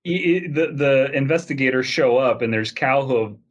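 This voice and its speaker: A man's voice: medium pitch, moderate pace, dull audio. A man co-hosting a comedy podcast, riffing casually in a playful, rambling, mock-explanatory way. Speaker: podcast host.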